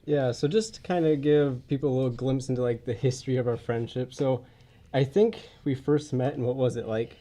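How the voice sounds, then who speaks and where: Congested young man with a mellow voice. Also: mid-range voice, steady tone, a little fast. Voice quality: congested, stuffy nose. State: getting over a head cold. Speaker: podcast host. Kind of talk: casual podcast introduction and interview. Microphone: podcast studio mic, wearing face mask or shield.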